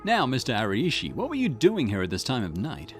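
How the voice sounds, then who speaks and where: A man playing a calm, politely persistent police detective in a visual novel, measured and courteous as he questions. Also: mid-range voice, faint music behind. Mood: polite, probing. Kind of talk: acted visual novel dialogue.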